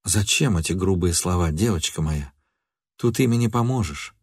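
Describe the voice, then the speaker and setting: A male reader acts a bewildered, guilt-stricken man in a literary audiobook. The voice is fairly low and subdued, sorrowful and pleading. The sound is dry and close. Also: expressive, normal speed, darkish tone, no echo. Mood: hushed, soothing. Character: bewildered, remorseful man, soothing his angry lover.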